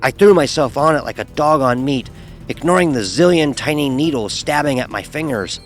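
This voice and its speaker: A man's voice: medium pitch, lively intonation, normal speed, close to an everyday voice. An actor playing a frightened, sarcastic young fraternity pledge who narrates his story in a wry, vivid, emotionally charged way. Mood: panicked. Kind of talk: first-person dramatic horror audio narration. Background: music.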